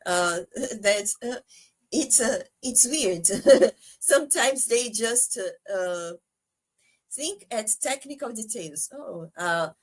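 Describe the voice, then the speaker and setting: A woman whose first language is Portuguese, chatting as a podcast guest, pausing often on fillers and laughing between phrases. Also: a little high, expressive. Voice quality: soprano singer. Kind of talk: casual podcast conversation.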